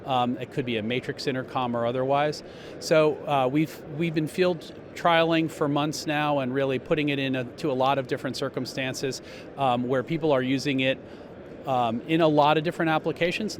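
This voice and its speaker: Man explaining a product amid loud show-floor noise. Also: medium pitch. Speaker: company spokesman being interviewed. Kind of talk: trade show product interview. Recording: noisy trade show floor.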